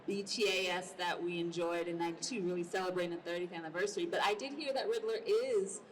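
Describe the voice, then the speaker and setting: A woman's voice: lowish voice, fast talker. A woman moderating a panel discussion at a convention. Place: large indoor convention panel. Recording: noisy convention hall, distant mic.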